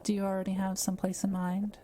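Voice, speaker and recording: A woman playing a kind, curious forest witch in an intimate audio roleplay, speaking gently and thoughtfully with polite, measured phrasing. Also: mid-range voice, completely flat delivery, a little hiss or hum, natural voice. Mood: mildly concerned. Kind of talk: intimate scripted audio roleplay addressed to the listener.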